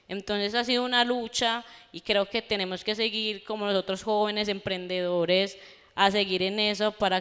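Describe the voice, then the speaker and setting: A woman's voice: mid-range voice, a bit bright, clean tone. Earnest young woman. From Colombia. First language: Gunadule. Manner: earnest, halting with restarts. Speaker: audience member. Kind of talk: public forum remarks. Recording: long hall reverb.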